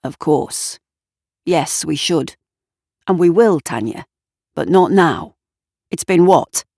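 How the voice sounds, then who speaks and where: Woman playing composed professional man, clipped, measured, pointed, slightly theatrical, audio story character, dry sound. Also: low voice, lively intonation, dark tone, dry recording, full character voice.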